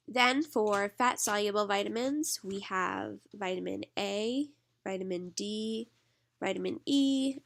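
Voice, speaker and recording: A woman instructor giving a recorded lecture while stuffed up from allergies, filling pauses with lots of "um". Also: mid-range voice, creaky. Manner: lots of "um" fillers, relaxed explaining. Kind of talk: recorded lecture over slides.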